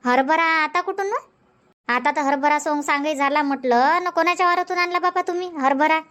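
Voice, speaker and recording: A high, bright-voiced village housewife, voiced by a woman in a cartoon comedy. She scolds and grumbles in fast village banter. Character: nagging village housewife Shanta, chatty and sharp-tongued.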